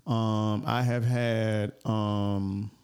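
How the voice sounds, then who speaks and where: A man's voice: fairly low pitch, level tone, drawn-out words, darkish tone, clean tone. A man co-hosting a podcast, talking in blunt, profane casual chat and laughing through his stories. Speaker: podcast co-host.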